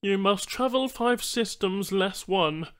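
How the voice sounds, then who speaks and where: High-pitched alien trader. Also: male voice, fairly bright tone, clean tone. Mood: businesslike. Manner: halting, oddly ordered phrasing. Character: alien male trader, formal, eager, stilted syntax. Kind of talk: audiobook character voice.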